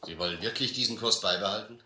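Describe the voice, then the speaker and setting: A man's voice: on the low side, quick pace, reverberant, very noisy, natural voice. An actor playing a worried, skeptical ship's helmsman, blunt and doubting, in a radio adventure play. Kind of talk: German audio adventure drama.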